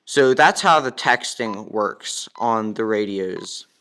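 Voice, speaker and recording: A man's voice: lowish voice, animated, slow pace, pushed and bright. A man giving a casual step-by-step product demonstration in a plain, instructional manner. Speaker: product demonstrator, ham radio operator.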